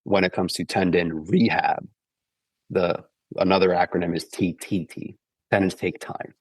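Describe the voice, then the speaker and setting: Deep-voiced, congested man explaining patiently. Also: flat delivery, a little slow, dry recording, poor audio. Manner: explains patiently, with many "like" and "okay?" check-ins. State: congested from flu. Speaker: podcast guest, running injury expert. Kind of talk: casual explanatory podcast talk.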